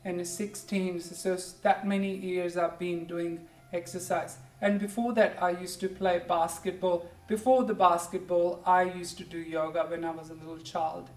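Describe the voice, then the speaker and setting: A yoga instructor in their forties from India, with an Indian accent and English as a second language, guiding a class with gentle, encouraging cues. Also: medium pitch, level tone, moderate pace, few pauses. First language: Hindi or Punjabi. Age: 40s. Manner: gentle, encouraging, keeps saying "good", simple repeated cues. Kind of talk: guided yoga class with pep talk. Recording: echoey room, distant mic, faint music.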